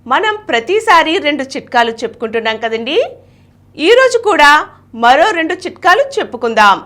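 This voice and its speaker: High-pitched, animated woman presenting tips. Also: brisk. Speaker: host and reader of a beauty tips show. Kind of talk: scripted presentation of home beauty tips.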